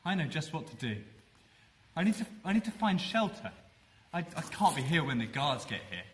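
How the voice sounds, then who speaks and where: A woman voicing a bewildered male apostle who has just escaped prison, talking in hushed, hurried bursts with stumbling restarts. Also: sits low, big pitch swings. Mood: hushed, anxious.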